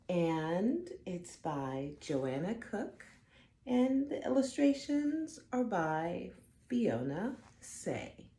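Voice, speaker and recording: A woman reading a children's picture book aloud in an expressive, deliberate way, phrasing carefully for young listeners. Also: low-pitched. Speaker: storybook reader for children. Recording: roomy echo, faint background noise.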